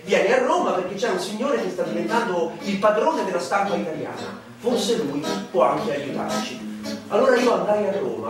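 An actor playing an elderly, wry newspaperman who recounts memories candidly and hesitantly in a theatrical monologue. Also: high voice. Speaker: stage actor. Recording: echoey stage, distant mic, music behind.

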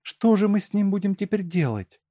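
A man's voice: sits high, lively intonation, moderate pace, dark tone, dry recording, voice pushed up. A man reading an audiobook and voicing a fierce young woman thief who scolds and gives orders sharply. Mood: whispering. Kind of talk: audiobook character voice.

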